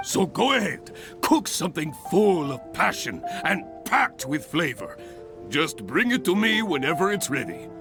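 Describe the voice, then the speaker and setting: An actor playing a hearty, grandiose adventurers' guild examiner in a video game, speaking in formal, exuberant flourishes. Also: male voice, fairly high pitch, very animated, a little slow, over music. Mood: instructive, eager. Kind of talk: English video game voice acting.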